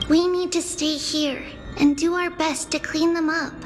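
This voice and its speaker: An actress voicing a calm, earnest twin sister in a game, speaking gently and steadily over game music. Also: female voice, high-pitched, measured pace. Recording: game soundtrack underneath.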